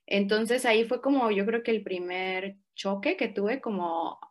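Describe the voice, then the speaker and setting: A young woman with a Mexican accent talks through her experiences in a relaxed, reflective way. Her voice sits at an ordinary middle pitch, and she leans on small fillers as she thinks aloud. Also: unhurried. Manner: relaxed, reflective, frequent fillers like "o sea" and "como". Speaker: interview guest. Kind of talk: casual interview answers.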